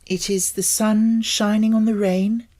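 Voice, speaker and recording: A woman voicing an earnest, excitable young girl who pleads with breathless, tumbling words. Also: mid-range voice, unhurried, pitched above the performer's own voice, lightly acted. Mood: eager, urgent.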